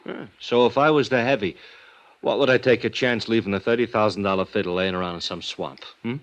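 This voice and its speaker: An actor playing an excitable, slow-witted but loyal small-time agent in a vintage radio drama, an American man who repeats himself emotionally and mangles fancy words. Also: on the low side, close to an everyday voice. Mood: smug.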